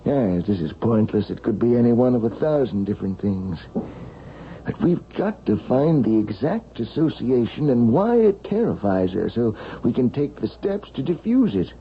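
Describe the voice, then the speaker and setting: An actor playing a worried, earnest doctor in a 1970s radio drama, halting and emphatic. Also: male voice, medium pitch, big pitch swings. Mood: concerned, pondering. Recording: muffled, hissy vintage radio.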